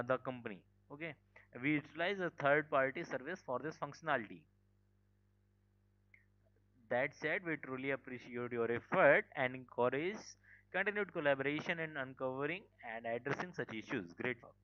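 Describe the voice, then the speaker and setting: A man teaching a live online security class, informal, full of fillers and "okay". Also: a little high. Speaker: cybersecurity instructor. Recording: dull lo-fi call audio.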